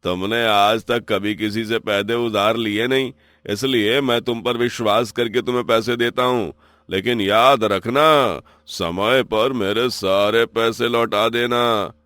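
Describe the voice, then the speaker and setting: A man voicing a stern, wary village moneylender who questions and warns deliberately in a moral story. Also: medium pitch, fairly even intonation, a bit bright, no echo, close to an everyday voice. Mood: warning.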